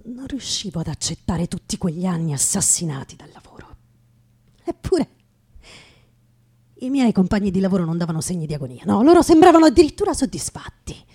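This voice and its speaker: A woman playing a weary, bitter working man in a radio theatre monologue, husky and breathy, muttering haltingly and often dropping to a whisper. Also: fairly low pitch, warm tone. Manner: halting, repeating words, muttering.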